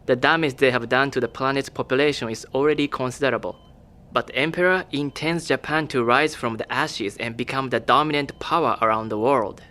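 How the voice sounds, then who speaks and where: Man playing a cool evasive official, calm and formal, mid pitch. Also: a little fast, lightly acted. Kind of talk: audio drama acting.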